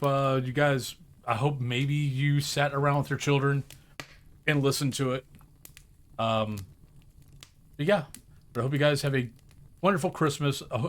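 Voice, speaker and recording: A man talking casually and informally to listeners as host, a little hesitant. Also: mid-range voice.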